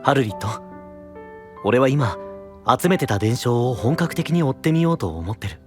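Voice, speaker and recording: A man playing a caring, earnest young knight and protective friend, gently reassuring, in a fantasy audio drama. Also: mid-range voice, very animated, normal speed, soft background music, lightly acted. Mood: earnest, encouraging.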